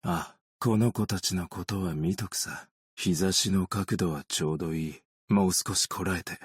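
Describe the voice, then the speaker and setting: Casting: a long-lived, world-weary male optician in a game; deep husky voice, understated, gently melancholic delivery. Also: normal speed, no room sound, clean studio sound. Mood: composed, courteous, then steady, reassuring. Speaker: video game character voice actor. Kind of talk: scripted game character voice lines.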